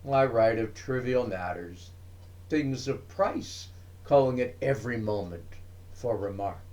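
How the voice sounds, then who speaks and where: A man reading verse aloud dramatically, with measured, emphatic and theatrical phrasing. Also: mid-range voice, a little mellow. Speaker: poetry reader. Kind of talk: expressive dramatic poetry reading.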